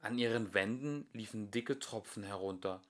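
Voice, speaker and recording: A young man reading a children's storybook aloud as an amateur narrator, in an expressive storytelling manner. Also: fairly low pitch, moderate pace. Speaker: amateur audiobook reader.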